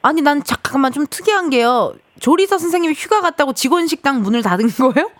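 Woman in her thirties, radio host, high lively voice, playful teasing chat, close dry studio sound. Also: fast talker, no room sound. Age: 30s. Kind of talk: casual radio chat with listeners. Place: broadcast studio.

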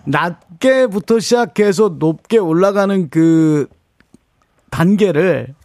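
A man co-hosting a radio show, coaching a caller on pitch and diction with emphatic demonstrations and frequent laughs. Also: expressive, takes it slowly, a little mellow, no echo, clean studio sound. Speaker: radio co-host and vocal coach.

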